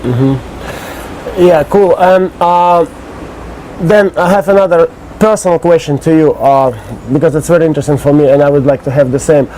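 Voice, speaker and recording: A man with a Russian accent hosting an interview outdoors in halting second-language English, nervous and laughing between his questions. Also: a little high, warm tone, slight background noise, low-quality recording. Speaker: interviewer, host. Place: outdoors on an island.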